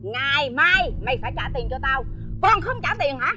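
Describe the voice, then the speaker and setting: A high-pitched, brusque village aunt with a Southern Vietnamese accent. She is a grumpy moneylender in a TV folk tale, quick to scold and exclaim, yet open to flattery. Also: over music. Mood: scolding, demanding. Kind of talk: folk-tale TV drama acting.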